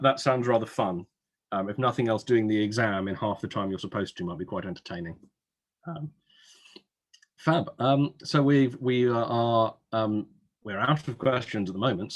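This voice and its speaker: A man's voice: on the low side, husky. A man hosting an informal webinar Q&A as an admissions adviser, chatty, with wry asides. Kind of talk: informal Q&A hosting, reading out audience questions. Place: online webinar.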